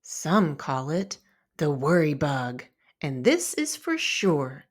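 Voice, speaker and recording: A woman reading a children's story aloud in an expressive, deliberate narrating style. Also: low-pitched. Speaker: storybook reader.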